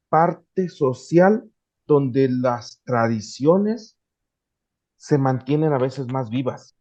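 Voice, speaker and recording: Hombre mexicano, tono sincero, audio apagado. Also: male voice, a little high, dark tone, dull audio. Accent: Mexican Spanish. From Morelos, Mexico. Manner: earnest, with frequent restarts and hesitations. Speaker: podcast guest. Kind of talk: casual interview conversation.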